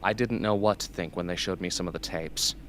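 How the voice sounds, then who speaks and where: Man acting deep-voiced, bitter young former captive. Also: brisk, a bit bright. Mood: probing. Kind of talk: amateur radio play acting. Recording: noisy amateur recording.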